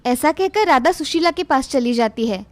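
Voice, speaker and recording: High-voiced woman storyteller. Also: lively intonation, moderate pace, darkish tone, dry recording. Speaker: narrator. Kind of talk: scripted children's story narration.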